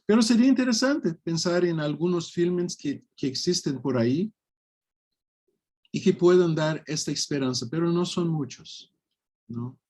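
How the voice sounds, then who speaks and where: A man with a fairly high voice talks slowly and thoughtfully. He speaks in a casual online study group over a video call, with gentle laughs along the way. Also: expressive. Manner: slow, warm and reflective, with soft laughs. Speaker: speaker leading a faith study talk.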